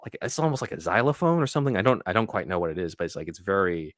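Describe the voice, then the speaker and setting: A man's voice: low voice, big pitch swings, racing, dry recording, studio quality. A man hosting a podcast, chatting and explaining things in a casual film discussion. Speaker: podcast host.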